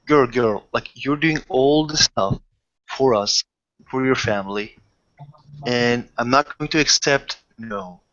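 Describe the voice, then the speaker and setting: A man playing a protective, insistent high-ranking werewolf in improvised tabletop roleplay, pleading in a halting, repetitive way. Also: fairly bright tone, dull audio. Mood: earnest, insistent.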